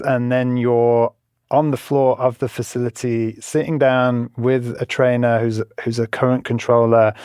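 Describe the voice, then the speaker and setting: A man's voice: mid-range voice, a little mellow, clean tone, dry recording, clean studio sound. A man in the role of a reporter, explaining a story in an informal, conversational way. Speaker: reporter being interviewed.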